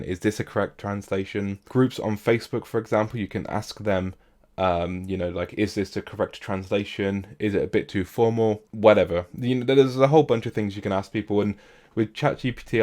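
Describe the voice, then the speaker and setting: A man with a croaky voice, rambling casually to camera about learning languages, with lots of fillers and restarts. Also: on the low side, darkish tone. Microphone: camera mic.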